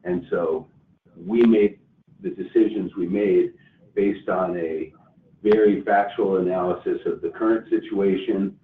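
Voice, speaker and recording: Low-voiced executive man on a distant phone line. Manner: measured, halting, corporate and careful. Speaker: company executive answering investor questions. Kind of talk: earnings call answers. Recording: roomy, distant phone-like line with faint noise.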